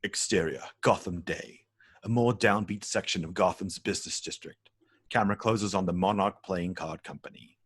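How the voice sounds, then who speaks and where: A man narrates a screenplay, reading out scene headings and stage directions. His voice is very low and husky, captured close and dry. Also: normal speed, dry recording. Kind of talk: scripted narration at a table read.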